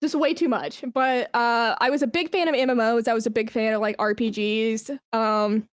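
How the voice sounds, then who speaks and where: A woman speaking as a guest in a casual podcast interview, animated and self-deprecating, with slangy phrasing. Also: a little high, moderate pace, smooth, dry recording, clean studio sound. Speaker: podcast guest, esports brand manager.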